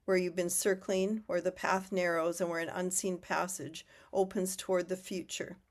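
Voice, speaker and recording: A woman's voice: on the low side, flat delivery, moderate pace. Woman reading aloud, voice still recovering. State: voice not fully recovered after losing it. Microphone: video call.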